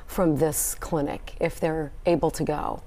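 A woman's voice: sits low, fairly even intonation, measured pace, dark tone. A middle-aged woman anchoring a TV news segment in a studio on a lapel mic, upbeat and emphatic as she interviews guests.

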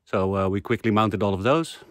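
Guy vlogging outside on a roof, camera held out, low voice, lots of uhs and ums, laid-back. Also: fairly quick, soft background music. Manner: relaxed, chatty, frequent uh and um fillers. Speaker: vlogger renovating a house. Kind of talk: casual vlog narration. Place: outdoors on a rooftop in a rural village. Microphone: handheld camera at arm's length.

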